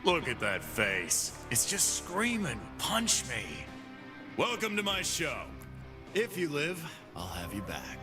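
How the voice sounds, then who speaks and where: A brawling fight-pit boss, gruff, boastful, unhurried drawl, swooping pitch, game voice lines over music. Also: male voice, huge pitch swings, exaggerated voice. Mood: cocky. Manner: gruff, boastful trash talk. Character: cocky brawling male fight-pit boss, swaggering tough.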